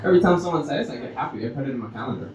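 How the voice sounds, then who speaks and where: Lively Mexican man doing echoey stand-up. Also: mid-range voice, racing. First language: Spanish. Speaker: amateur stand-up comedian. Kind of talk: stand-up comedy with audience interaction. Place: live stage in a roomy venue. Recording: echoey noisy room, distant muffled pickup.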